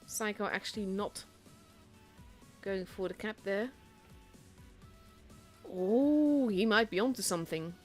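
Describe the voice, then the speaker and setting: A young Dutch woman, a native Dutch speaker, casting a tank game solo in a chatty, self-deprecating way that grows excited at big plays. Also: medium pitch, slow, pauses often, soft background music. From Netherlands. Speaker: esports caster.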